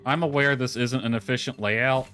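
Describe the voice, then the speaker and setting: A man's voice: mid-range voice, steady tone, moderate pace, bright, forceful tone. A man giving casual, rambling livestream commentary as he plays a game, thinking aloud as he goes. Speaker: game streamer. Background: background music.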